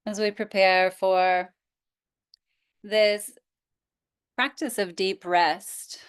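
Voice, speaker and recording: A woman teaching yoga nidra, guiding relaxation in a gentle, inviting manner with pauses. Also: medium pitch, steady tone, smooth. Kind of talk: guided relaxation instruction.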